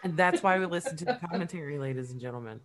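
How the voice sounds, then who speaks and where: A woman's voice: sits low, animated, very fast, a crowd in the background. A woman co-hosting a podcast in a casual, profane, emphatic chat, often breaking into laughs. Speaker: podcast co-host.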